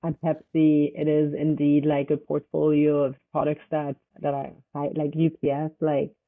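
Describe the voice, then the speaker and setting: A young woman from Switzerland, a startup founder, answering interview questions in a loose, halting way full of fillers. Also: low voice, monotone. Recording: tinny phone-like line.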